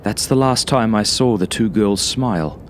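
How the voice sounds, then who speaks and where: A man reading a first-person horror story as a narrator, in a measured, dramatic manner. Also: lowish voice, slight background noise.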